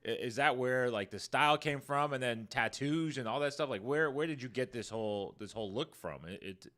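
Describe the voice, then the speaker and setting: Man, podcast host, eager, chatty, mid-pitched voice. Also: fairly bright tone. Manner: eager, chatty, quick to laugh. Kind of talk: casual interview questions.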